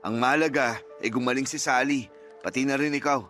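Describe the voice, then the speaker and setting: A man's voice: mid-range voice, fairly even intonation, a little fast, fairly bright tone, faint music behind, lightly acted. An actor playing a cold, reproachful, well-off estranged father in a radio drama. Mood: firm, cold. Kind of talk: Tagalog radio drama acting.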